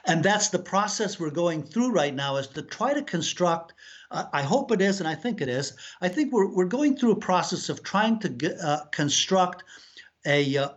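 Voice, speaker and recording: Man, middle-aged, from Afghanistan, interview guest, reflective, halting, lots of fillers, somewhat high voice, muffled lo-fi audio. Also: animated. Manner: thoughtful, halting, many fillers and restarts. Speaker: author, guest. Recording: dull lo-fi sound.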